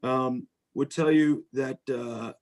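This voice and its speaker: A man's voice: mid-range voice, steady tone, takes it slowly. A middle-aged man with an American accent speaks informally and discursively as a guest on a video call, with some self-deprecation. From United States. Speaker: venture capitalist, guest speaker.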